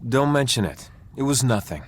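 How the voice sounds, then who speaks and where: An unassuming young captain, voiced by a man, speaks at a middle pitch with varied, lively intonation. He is courteous and easygoing in video game style, over faint ambient noise. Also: moderate pace, natural voice. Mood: modest, friendly. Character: modest, courteous young male ship captain.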